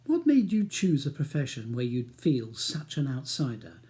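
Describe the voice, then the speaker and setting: A man reading a novel, voicing an exasperated, sharp-tongued upper-class English wife with a clipped, argumentative manner. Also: medium pitch, wildly swooping pitch, normal speed. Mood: challenging.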